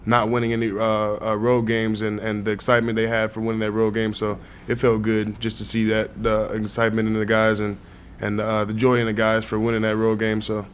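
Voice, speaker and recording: Low, even-toned young man over noise. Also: brisk. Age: young adult. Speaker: college football player, interview guest. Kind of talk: casual interview answers. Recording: noticeable background noise.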